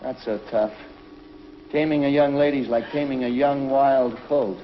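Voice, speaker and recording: An actor playing a confident young spaceship pilot hero in a 1950s TV drama, brash and commanding. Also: male voice, mid-range voice, steady tone, unhurried, slight background noise, natural voice. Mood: teasing. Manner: cocky, commanding. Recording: dull lo-fi 1950s TV soundtrack with music.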